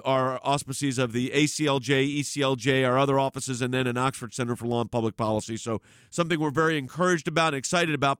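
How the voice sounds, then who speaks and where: A man's voice: on the high side, fairly bright tone, smooth, no room sound, studio quality. A man hosting a talk radio show, emphatic and rambling with frequent restarts. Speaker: radio host.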